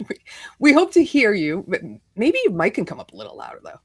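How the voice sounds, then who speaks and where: A woman's voice: mid-range voice, huge pitch swings, fairly quick, crowd noise. A woman co-hosting a casual online show, chatty and giggly, quoting people in voices, with a cold coming on. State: coughing, hoarse head. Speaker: co-host. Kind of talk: casual chat on a video call show.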